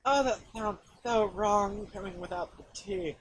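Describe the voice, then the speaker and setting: A young American man livestreaming a game, chatting in an expressive, sweary, teasing way. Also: sits high, unhurried, husky. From California, USA. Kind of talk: casual banter while streaming a game. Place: indoor room, seated in armchair.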